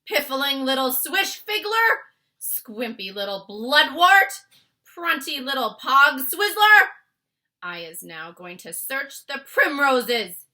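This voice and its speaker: A woman voicing a huge, gruesome male giant from a children's storybook, a loud bully who booms out garbled insults. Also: very animated, takes it slowly, exaggerated voice. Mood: furious, insulting. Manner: booming, shouting insults in garbled ungrammatical English.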